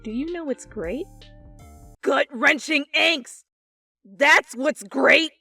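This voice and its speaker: A woman hosting her channel with casual, animated chat to followers, bubbly, jokey and theatrical. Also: high voice, slow pace, vocal fry, no room sound, soft background music. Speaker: channel host.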